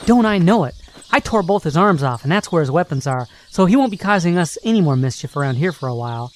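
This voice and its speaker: A woman's voice: deep voice, animated, fast talker. A woman voicing a cocky, loyal young male hedgehog hero with a flippant, wisecracking manner in an amateur radio drama. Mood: breezy. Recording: noisy amateur recording.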